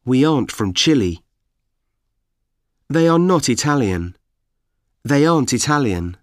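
A man reading example sentences for language learners, deliberate and evenly paced. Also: medium pitch, big pitch swings, no echo, studio quality. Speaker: course audio speaker. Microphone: dry studio mic.

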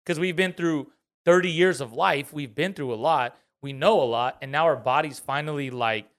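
Casting: man in his thirties, slightly high voice, casual and swearing podcast host. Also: normal speed, fairly bright tone. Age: 30s. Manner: loose and profane, laughs often. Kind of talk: casual chat.

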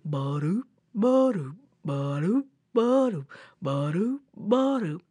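Lady doing a big dopey friendly boy bear, low n breathy, slow drawl, storybook style. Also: big pitch swings, fairly bright tone, no room sound, exaggerated voice. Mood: ambling, carefree. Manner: slow, simple, rustic folk speech. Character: slow, gullible, good-natured male bear. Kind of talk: animated folk-tale storytelling.

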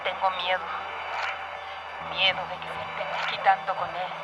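An actress playing a frightened, pleading subordinate in a radio drama, halting and repetitive, moving between whispers and outcries. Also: female voice, lowish voice, little pitch movement, dull audio, natural voice. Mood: fearful, hushed. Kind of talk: theatrical radio drama monologue. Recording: music behind the voice.

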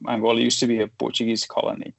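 A man in his forties, born and raised in Belgium, telling his story as a guest in a casual interview, halting with many restarts and fillers. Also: mid-range voice, monotone, gravelly, dry recording. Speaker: guest, photojournalist.

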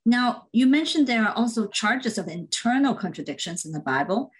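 A composed woman hosts a podcast and introduces her guest and topic. She reads out her prepared questions in an even, orderly way. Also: a little high, moderate pace. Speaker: podcast host. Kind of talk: scripted podcast interviewing.

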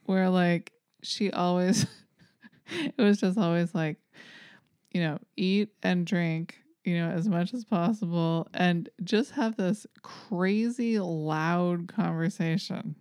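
A chatty young woman co-hosting a podcast, telling stories with lots of fillers and asides. Her voice is smooth and a touch low, recorded on a dry close mic. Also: takes it slowly, darkish tone, dry recording. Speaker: podcast co-host. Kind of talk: casual chat.

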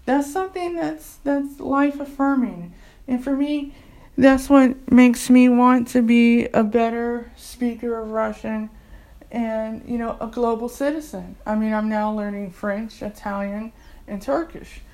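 An enthusiastic woman in her forties talks earnestly in a relaxed testimonial, her voice sitting a little higher than most. She speaks as a community English tutor sharing her own experience. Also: measured pace. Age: 40s. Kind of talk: casual personal testimonial.

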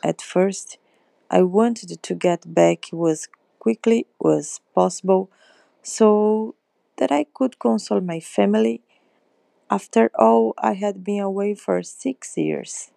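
A woman reads a story aloud at a very slow pace. Her voice is heavily creaky and mellow, recorded close and dry. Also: medium pitch, no echo. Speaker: participant reading aloud. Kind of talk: reading first-person story aloud.

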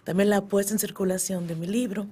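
A woman's voice: mid-range voice, fairly even intonation, fast talker, warm tone, breathy edge. A middle-aged woman with a Dominican accent, speaking as an interview guest and telling her story casually. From born in the Dominican Republic, emigrated to the US at ten. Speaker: guest, poet and actress.

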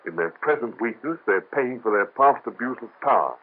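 An actor playing a cautious friend who urges care and warns of danger, in an old radio drama. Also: male voice, mid-range voice, expressive, normal speed, slight background noise, natural voice. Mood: earnest. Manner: urgent, warning. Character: Camille, cautious male friend and colleague. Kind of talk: 1930s radio acting. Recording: tinny lo-fi old radio recording.

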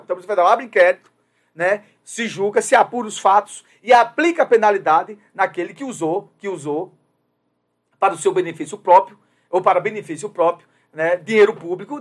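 A Brazilian man giving opinionated political commentary, emphatic and repeating key phrases, with frequent filler tags. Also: sits high. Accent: Brazilian Portuguese.

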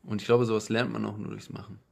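Gravelly-voiced young man hosting. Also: fairly low pitch, fast talker. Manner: relaxed, tells anecdotes with quoted voices. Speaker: podcast host. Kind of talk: casual podcast conversation.

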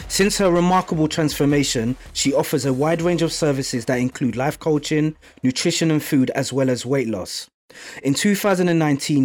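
Male podcast host introducing and interviewing. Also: a little high. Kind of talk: scripted podcast introduction, then conversational interviewing.

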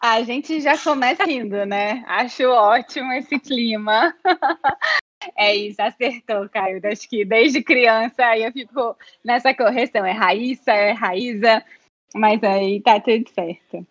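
A woman's voice: a little high, slow pace, a bit bright, dry recording. A woman from Minas Gerais with a Mineiro accent, a guest on a podcast, friendly and a little nervous, with many fillers. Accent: Mineiro Brazilian Portuguese. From Minas Gerais, Brazil. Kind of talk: casual interview. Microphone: home office setup. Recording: dull, dry lo-fi audio.

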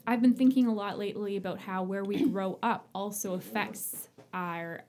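A woman's voice: mid-range voice, steady tone, moderate pace, a little mellow. A woman from small-town Ontario with a Canadian accent, hosting a casual conversational interview in an effusive, chatty way. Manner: effusive, chatty, laughs often. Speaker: interviewer, host.

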